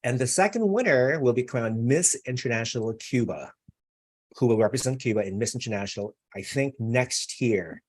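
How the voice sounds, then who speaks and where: A man's voice: mid-range voice, lively intonation, normal speed, darkish tone, breathy edge. A man hosting a live online interview, chatty and effusive, with many fillers. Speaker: interview host.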